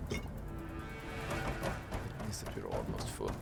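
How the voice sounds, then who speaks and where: A man casually commenting on a video game as he plays, thinking aloud with fillers. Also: slow pace. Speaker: gaming channel host. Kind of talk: casual let's-play commentary. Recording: voice over loud game music.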